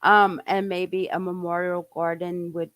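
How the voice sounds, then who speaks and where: A woman hosting a stream in casual chat, with an informal, halting way of talking. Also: lowish voice, flat delivery, a loud crowd. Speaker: host of a true-crime stream.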